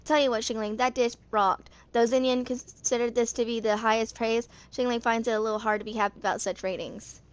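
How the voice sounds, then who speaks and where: A woman in her twenties reading written game lore aloud in an amateur narration, haltingly, stumbling over words and rereading them. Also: a little high, steady tone. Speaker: video creator reading game character lore.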